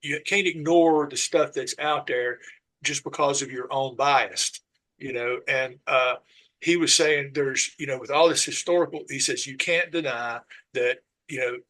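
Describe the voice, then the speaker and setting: A man, a podcast guest talking casually and haltingly, with many "uh"s and "you know"s. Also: medium pitch, fairly bright tone, low-quality recording. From Southern US. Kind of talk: casual conversation.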